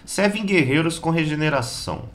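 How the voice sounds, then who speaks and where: A slightly hoarse Brazilian man giving a casual, slangy gameplay guide as host of a gaming channel. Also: a little high, lively intonation, moderate pace, fairly bright tone. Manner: slangy, informal, chatty. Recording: keyboard clatter and background noise.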